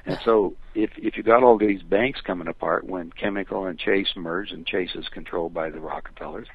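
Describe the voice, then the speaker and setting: An older American man giving his opinions as a phone interview guest, talking in a rambling, emphatic way with a gravelly, husky voice over a telephone line. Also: sits low, brisk, no echo. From United States. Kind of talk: conversational opinion talk.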